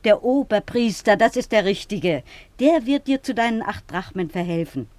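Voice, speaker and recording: A woman acts out a donkey driver's wife in a radio play, bossy and scheming. Her pitch swings widely as she pushes her point with impatient, worldly-wise certainty, in a close, dry recording. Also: animated, moderate pace, a little mellow, no room sound, natural voice. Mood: impatient, persuasive. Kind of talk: German radio play acting, dialogue with asides.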